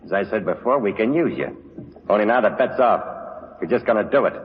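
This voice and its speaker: An actor playing a cocky, menacing gangster boss, tough, slangy and sardonic, in 1940s radio style. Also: male voice, lowish voice, animated, brisk, natural voice. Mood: sly threatening. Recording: muffled old radio broadcast, noisy, reverberant.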